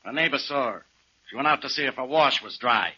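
An actor playing an irritable, stubborn police inspector who blusters dismissively, in 1940s radio drama style. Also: male voice, mid-range voice, fairly quick, slightly rough, close to an everyday voice. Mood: exasperated. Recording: muffled vintage 1940s radio recording.

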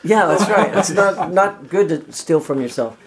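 An older man, a guitarist, reminiscing in a relaxed interview, rambling and full of "you know". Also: fairly high pitch, unhurried.

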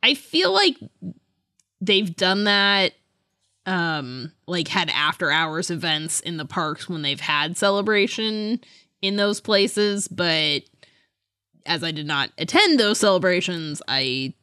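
A woman with a low, bright voice talks casually as a podcast co-host. She rambles in a chatty way, hedging and letting sentences trail off, and the sound is close and dry. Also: animated, slow pace, clear, resonant tone, no echo. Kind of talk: casual fan chat.

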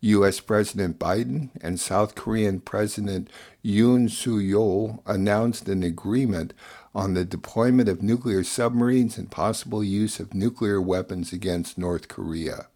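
Deepish guy hosting a news digest show, reading announcements off a script. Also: unhurried, warm tone. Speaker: radio show host.

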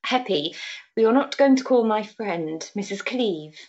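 A woman playing a forthright, compassionate gentlewoman in a period drama, speaking in a direct, firm manner. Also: mid-range voice, lively intonation, unhurried, dull audio, lightly acted. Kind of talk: period audio drama acting.

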